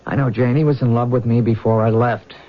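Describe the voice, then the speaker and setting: An actor playing an earnest young soldier in love in a radio drama, speaking haltingly and eagerly. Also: male voice, mid-range voice, steady tone, lightly acted. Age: young adult. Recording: muffled old radio broadcast.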